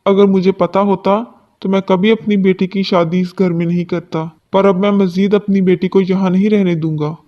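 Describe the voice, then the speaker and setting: A man's voice: high-pitched, little pitch movement, moderate pace, lo-fi sound, close to an everyday voice. A man voicing a protective, earnest father bird in a cartoon story. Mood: firm, upset. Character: protective, firm father bird of a married daughter. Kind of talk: Hindi cartoon story dubbing.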